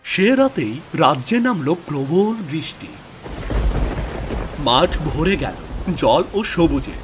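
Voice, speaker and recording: A man's voice: on the high side, sing-song, slow, background noise. A man narrating a scripted fairy tale as a storyteller.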